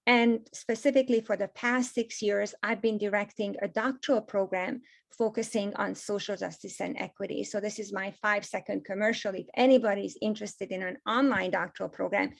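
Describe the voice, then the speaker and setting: A woman's voice: mid-range voice, fairly even intonation, brisk, gravelly. Hungarian-accented woman presenting a webinar. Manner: gracious, emphatic repetitions. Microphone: video call.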